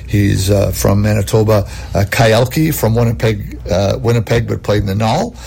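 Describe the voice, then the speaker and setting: A man, a hockey head coach, answering interview questions in a halting way with many fillers and restarts. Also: fairly low pitch, steady tone, gravelly, mellow, a little hiss or hum.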